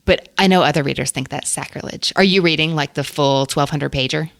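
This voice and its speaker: A woman's voice: low-pitched, moderate pace, creaky, a bit bright, no echo. A woman hosting a book podcast, talking casually and enthusiastically about books and laughing often. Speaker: podcast host.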